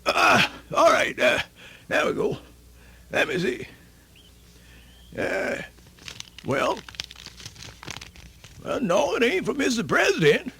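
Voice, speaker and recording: A man playing a folksy, cheerful old country storyteller, stammering and muttering in a gravelly voice for radio theatre. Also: very high-pitched, huge pitch swings, sharp tone, exaggerated voice. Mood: curious, muttering. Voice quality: creaky, gravelly. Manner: stammering, muttering, drawn-out rustic talk. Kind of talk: radio theatre character acting.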